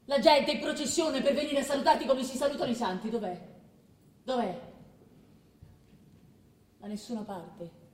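Actress as high-voiced bitter queen, echoing stage. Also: fairly even intonation, normal speed, pauses often, a little mellow, lightly acted. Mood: despairing. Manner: emphatic, repeating phrases. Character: bitter, weary wife of a failing king. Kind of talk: theatrical stage-style radio drama acting. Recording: distant, echoey stage recording with hiss.

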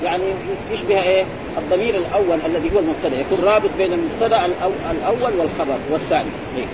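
A man, a sheikh teaching grammar who keeps prompting his students with quick questions. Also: high voice. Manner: quizzing, prompting with repeated "eh?" and "ha?". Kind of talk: classroom explanation with questions to students. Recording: muffled recording with crowd and traffic noise.

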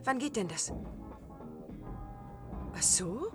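A polite, evasive wife in a radio play. Her voice sits fairly high and sounds hesitant. Music plays clearly behind her. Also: big pitch swings, drawn-out words, fairly bright tone, heavily acted. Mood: polite, eager. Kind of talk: German radio play dialogue.

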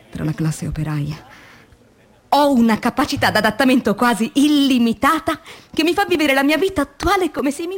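An actress playing a young, tormented philosophy teacher turned factory worker, in a radio drama monologue with measured, deliberate phrasing and dramatic pauses. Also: female voice, high-pitched. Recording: clearly noisy recording with ambient sound.

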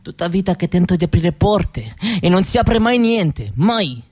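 A woman's voice: lowish voice, very animated, a little slow, dark tone, husky, exaggerated voice. An actress playing a proud Roma mother from Romania in a conversational stage monologue, with an Eastern European accent and broken grammar. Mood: weary, plaintive. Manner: broken foreign-speaker grammar, conversational, rhetorical tags. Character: proud, cheerful Roma woman from Romania, mother, chatting hospitably. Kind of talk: theatrical monologue drawn from interviews.

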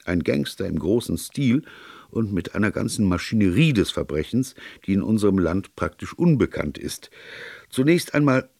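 A man voicing a grave, plain-spoken police colonel in a storybook reading, earnest, formal and deliberate. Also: on the low side, very animated, vocal fry, darkish tone, dry recording, studio quality, exaggerated voice. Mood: explaining. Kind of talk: audiobook character dialogue.

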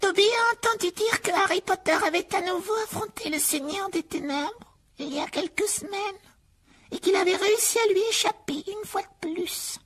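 A woman voicing a timid, tearful male house-elf who pleads in a squeaky, breathy voice and talks about himself in the third person. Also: normal speed, fairly bright tone. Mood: earnest. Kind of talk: audiobook character voice.

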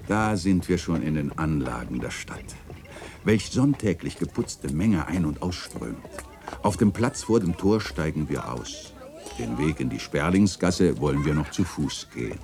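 An actor playing a reflective, nostalgic chronicler narrating a literary radio play in a measured, contemplative way. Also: male voice, extremely low pitch, animated, a little mellow, slight background noise, exaggerated voice. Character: reflective, nostalgic male chronicler of an old city lane.

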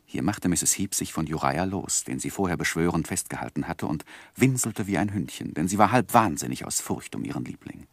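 An actor playing a composed first-person narrator in a literary radio drama, measured and articulate. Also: male voice, deep voice, no echo. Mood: wry storytelling. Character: composed male narrator recounting his own life story.

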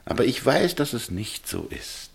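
An actor playing a proud middle-aged governor, husky and gravelly, stern but tender with his wife, in a radio play. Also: medium pitch, sing-song, moderate pace, exaggerated voice. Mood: troubled, reflective. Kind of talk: German radio play acting.

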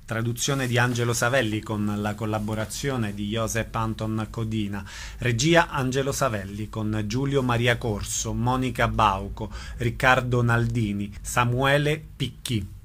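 A man's voice: medium pitch, a little slow, fairly bright tone, a little hiss or hum. A man hosting a radio programme, presenting a production and putting questions to a guest. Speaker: radio host.

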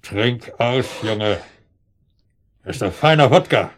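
An actor playing a cold, domineering armed intruder in a radio drama, with a rough, gravelly voice. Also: male voice, medium pitch, lively intonation, slow. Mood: menacing. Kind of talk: German radio drama acting.

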